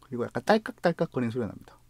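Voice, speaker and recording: A man's voice: mid-range voice, no room sound. A man with a Gyeongsang accent, reviewing guitar gear in a casual, explanatory way, sometimes correcting himself mid-word. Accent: Gyeongsang Korean. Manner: relaxed, explanatory, slightly halting with self-corrections. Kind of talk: casual product review vlog.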